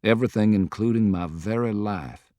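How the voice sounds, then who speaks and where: Man playing a hushed, weary, resolute general. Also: lowish voice, dry recording, lightly acted. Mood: solemn resolve. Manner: hushed, slow, measured. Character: grave, weary male Confederate general, quietly resolute.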